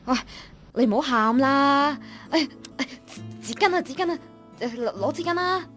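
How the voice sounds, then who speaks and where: A woman's voice: high-pitched, animated, moderate pace, fairly bright tone, faint music behind. An actress playing a nosy young female office colleague in a radio drama, talking in a blunt, colloquial, gossipy way. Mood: flustered. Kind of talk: Cantonese online radio drama acting.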